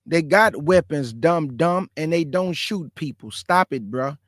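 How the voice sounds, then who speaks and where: Male host with a high voice and dry sound, scolds in slang while reacting to clips. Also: expressive, a little slow, a bit bright, dry recording. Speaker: show host. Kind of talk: reaction commentary.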